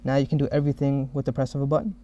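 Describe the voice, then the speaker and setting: A man narrating a software demo as the developer who made it, explaining each step. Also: mid-range voice, little pitch movement, racing. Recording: dull, muffled lo-fi audio.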